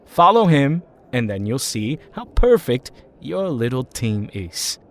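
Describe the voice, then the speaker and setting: A man's voice: mid-range voice, sing-song, takes it slowly. A man playing a drunk, bitter and jealous young ex-hero in an audio drama, with taunting, resentful outbursts. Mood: mocking, sneering.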